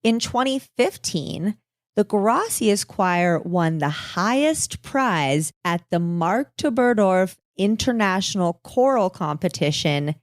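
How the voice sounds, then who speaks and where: A woman's voice: medium pitch, animated, slow, no echo, studio quality. A woman hosting a podcast, telling stories in casual chat with a wry, candid manner and some swearing. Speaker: podcast host. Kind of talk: casual storytelling chat with a co-host.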